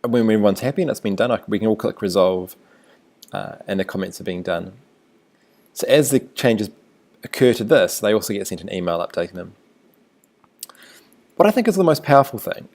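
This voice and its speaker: A man with a New Zealand accent, a teacher talking through a screencast tutorial in a relaxed, thinking-aloud way. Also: fairly low pitch.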